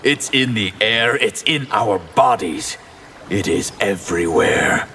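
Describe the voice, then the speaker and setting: A man's voice: on the low side, animated, takes it slowly, gravelly, pushed and bright, a little hiss or hum, heavily acted. A man playing a grave, formal water mage in a fighting game, solemn and ominous. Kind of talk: fighting game character acting.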